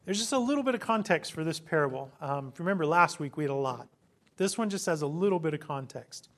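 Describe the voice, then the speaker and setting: A hoarse man preaching a sermon as a pastor, earnest and preacherly, with frequent ums. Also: lively intonation, fast talker. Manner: prays in a soft, low voice.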